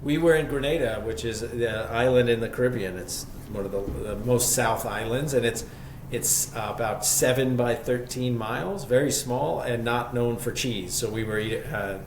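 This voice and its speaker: A man with a mid-pitched voice talks as an interview guest, reflective and enthusiastic. He tells his story conversationally. The room is clearly echoey, with faint noise behind him. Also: moderate pace. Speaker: podcast guest, shop co-founder.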